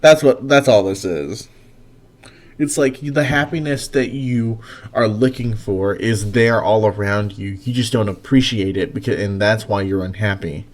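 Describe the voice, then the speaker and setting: Low-voiced man, an expressive storyteller. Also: measured pace. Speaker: host and story narrator. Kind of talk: dramatic story reading and casual discussion.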